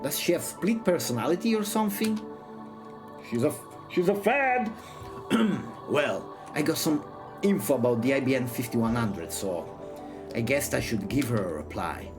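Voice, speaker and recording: A man's voice: mid-range voice, big pitch swings, moderate pace. A man reading a story aloud expressively, theatrical and irreverent, breaking off for side remarks. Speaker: streamer reading a visual novel aloud. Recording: music behind the voice. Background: music.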